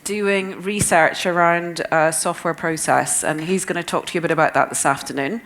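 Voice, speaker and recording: A woman's voice: on the low side, fairly bright tone. A middle-aged woman with a chatty, self-deprecating manner, introducing speakers at a conference panel. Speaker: panel moderator. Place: conference breakout room.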